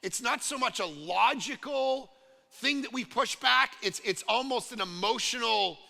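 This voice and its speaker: Pastor, male, from Canada, gravelly and piercingly bright, chatty sermon delivery, long church-hall reverb. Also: very high-pitched, a little slow. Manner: animated, conversational preaching with many "right?" asides.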